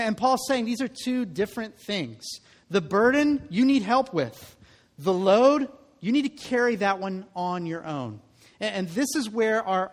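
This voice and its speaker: Male pastor, 30s, high voice, casual sermon delivery with restarts, big reverberant hall. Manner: conversational preaching, repeats words when starting phrases.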